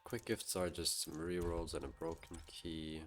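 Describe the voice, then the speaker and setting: A man's voice: low-pitched, fairly even intonation, unhurried. A man streaming a game live, chatting casually to his viewers and musing aloud to himself. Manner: talks to chat and muses aloud to himself.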